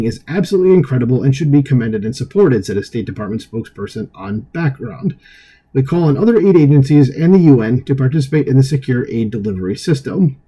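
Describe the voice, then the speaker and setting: Dark, husky-voiced man reading news with commentary. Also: mid-range voice. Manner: opinionated, dismissive asides. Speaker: online news commentary host. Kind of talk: reading an article aloud with off-the-cuff commentary.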